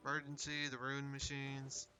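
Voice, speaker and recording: A man's voice: mid-range voice, completely flat delivery, normal speed, pushed and bright, faint music behind. A man giving casual live commentary as he plays and streams a video game.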